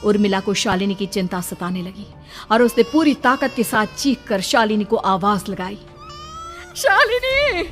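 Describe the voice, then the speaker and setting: A woman's voice: medium pitch, normal speed. Woman narrating horror story over music.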